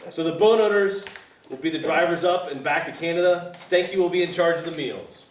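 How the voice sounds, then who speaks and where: Man playing a higher-voiced grumbling trip organiser. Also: a little fast, far from the mic, natural voice. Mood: matter-of-fact. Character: exasperated organiser of a fishing trip. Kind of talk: screenplay table read. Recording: echoey room, off-mic, faint hiss.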